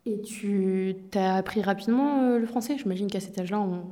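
A woman interviewer asks questions in a casual, gentle way, pausing on fillers. Her tone is mellow and rounded, at a middle pitch.

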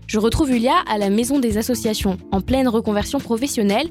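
A woman with a somewhat high voice reads a scripted documentary narration. Faint music plays underneath her words. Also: fast talker, a little mellow.

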